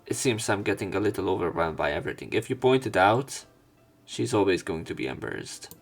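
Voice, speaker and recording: Low, slightly gravelly man narrating a game. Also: animated, fairly quick. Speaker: let's play narrator and commentator. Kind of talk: reading visual novel text aloud with casual asides. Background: faint game music.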